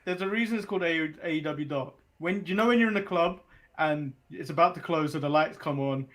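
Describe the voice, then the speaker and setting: A man's voice: a little high, brisk, a bit bright. A man from England co-hosting a wrestling podcast in casual, joking chat.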